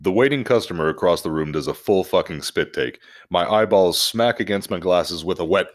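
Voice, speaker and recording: Very low-voiced man hosting a podcast. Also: fairly quick. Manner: profane, jokey. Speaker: podcast host. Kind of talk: casual podcast chat and animated story reading.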